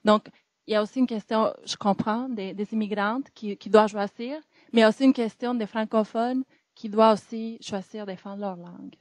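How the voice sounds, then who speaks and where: A woman's voice: mid-range voice, steady tone, brisk, a little mellow, no room sound. A woman with a Spanish accent, a native Spanish speaker, making an earnest, careful comment from the floor. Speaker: audience member.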